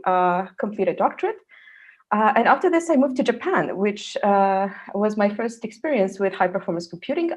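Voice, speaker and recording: Woman from Serbia, research fellow, online science talk, earnest, lots of "uh". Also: medium pitch. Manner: earnest, with frequent "uh" fillers.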